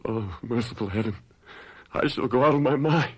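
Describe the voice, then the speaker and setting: An actor playing a tormented gentleman lover in radio drama, fervent and melodramatic in formal period speech. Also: mid-range voice, moderate pace, husky, close to an everyday voice. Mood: tormented, emotional. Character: anguished young gentleman lover, passionate, desperate, tormented. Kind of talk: 1970s radio drama acting.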